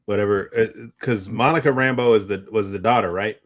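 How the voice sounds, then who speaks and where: A man's voice: on the low side, brisk. A man co-hosting a movie discussion, chatting casually in a rambling way as he searches for words. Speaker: co-host of a movie discussion show. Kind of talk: casual chat.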